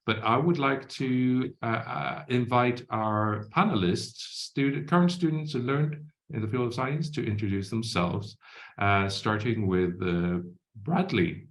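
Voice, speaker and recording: A man's voice: medium pitch, measured pace. A man moderating an online university Q&A over a video call, with many hesitations and fillers. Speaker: webinar moderator. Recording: roomy echo.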